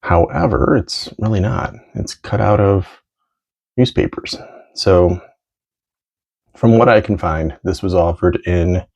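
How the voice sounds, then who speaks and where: Low, gravelly American man, casual. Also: expressive, slow pace, long pauses, a little mellow. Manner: rambling, conversational, thinking aloud. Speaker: vintage sports card collector presenting items. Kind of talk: casual unscripted show-and-tell.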